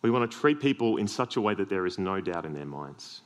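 Man, Australian accent, deep voice, low pitch, earnest sermon, self-deprecating humour. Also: big pitch swings, fairly quick. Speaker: preacher.

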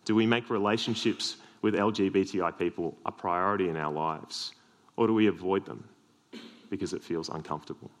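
An Australian man with a deep voice preaching a sermon, earnest with self-deprecating humorous asides. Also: fairly quick.

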